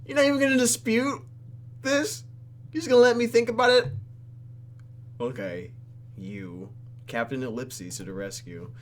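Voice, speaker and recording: A man's voice: high voice, fairly even intonation, normal speed, long pauses. A man reading visual novel text aloud for a let's play and reacting to it in a theatrical, exaggerated way. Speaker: YouTube gamer.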